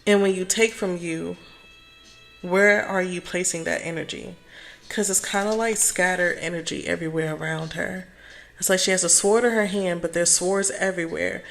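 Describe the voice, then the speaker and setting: A hoarse woman speaking calmly and softly as she gives a casual tarot reading. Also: on the low side, normal speed, faint music behind. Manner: calm, soft-spoken, chatty. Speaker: tarot reader.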